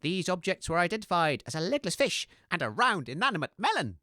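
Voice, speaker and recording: A woman's voice: fairly low pitch, big pitch swings, fairly quick, sharp tone, dry recording, clean studio sound. A woman playing an earnest, fussy, easily exasperated radio co-host in scripted comedy, hesitant and reproachful. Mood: earnest newsreading.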